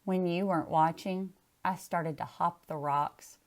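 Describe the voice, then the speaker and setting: A woman's voice: lowish voice, steady tone, unhurried. A woman chatting casually and reading a picture book aloud. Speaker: storybook reader.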